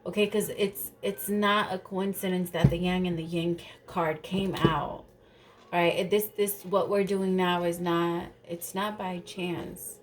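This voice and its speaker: A woman's voice: on the low side, flat delivery, a little slow, slight background noise. A woman reading tarot cards in a casual, rambling way, a little stuffy and nasal from allergies, swearing now and then. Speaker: tarot reader.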